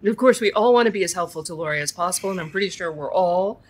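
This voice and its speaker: A slightly low-voiced woman leads a support group in an audio drama. She is reassuring and explanatory, and challenges others gently in a natural, conversational way. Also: fast talker, husky.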